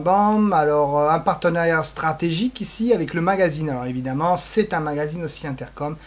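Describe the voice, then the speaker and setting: A man giving an informal website walkthrough, hesitant and rambling, with playful asides. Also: fairly high pitch. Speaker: presenter.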